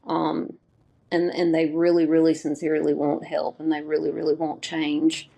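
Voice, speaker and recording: A woman's voice: low-pitched, completely flat delivery, normal speed, gravelly, warm tone. A woman from Mississippi, speaking as an interview guest in an earnest, plainspoken way. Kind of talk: casual interview.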